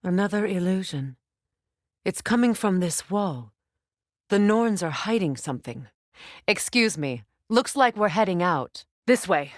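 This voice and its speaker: A woman playing a proud, guarded warrior goddess in a video game, speaking in a measured, commanding, solemn manner. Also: lowish voice, expressive, no room sound, clean studio sound. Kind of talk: dramatic video game acting.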